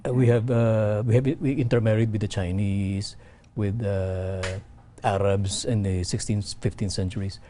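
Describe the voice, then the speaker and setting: A man from the Philippines, a guest on an interview show, explaining in halting talk with many restarts. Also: lowish voice, fairly even intonation, mellow, slight background noise. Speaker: event representative guest.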